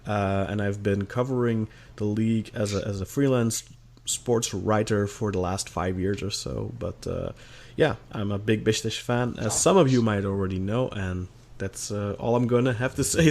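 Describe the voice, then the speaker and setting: A thirty-year-old man from Belgium hosting a casual football podcast, upbeat and quick, with the odd laugh. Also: lowish voice, darkish tone. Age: 30s. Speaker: podcast host.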